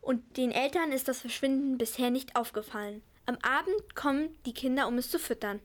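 A woman's voice: high voice, brisk, natural voice. A female narrator reading scripted storytelling links for a children's radio play.

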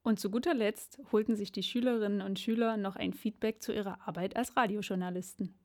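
A woman's voice: medium pitch, steady tone, brisk, a little mellow. A woman co-hosting a radio show in a casual presenting style.

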